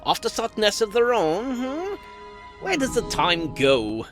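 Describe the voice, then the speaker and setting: A man voicing a cocky, flippant dragon assassin and family dad in an animated fantasy series, with sarcastic, stammering banter. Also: sits high, measured pace, music in the background, heavily acted. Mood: breezy, playful.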